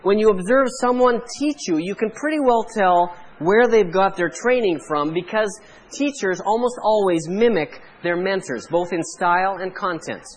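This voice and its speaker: A Canadian man, a pastor, preaching a sermon in a measured way, pausing for emphasis. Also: high voice.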